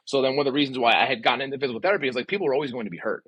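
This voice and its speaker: A man's voice: medium pitch, racing, fairly bright tone, slightly rough, muffled recording. A man in his forties talking casually and emphatically as a podcast guest, voicing strong opinions and often asking rhetorical questions. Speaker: podcast guest, physical therapist.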